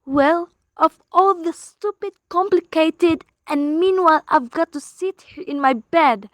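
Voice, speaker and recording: A woman's voice: extremely high pitch, unhurried, no room sound. A woman playing a nervous, bedridden, demanding woman in a melodramatic radio play, agitated and complaining. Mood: indignant.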